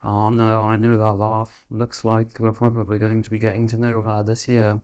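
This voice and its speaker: A man playing a blunt, protective teenage schoolboy in an amateur animated drama, with slightly muffled audio. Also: fairly low pitch, moderate pace, close to an everyday voice. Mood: dismayed. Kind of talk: scripted drama acting.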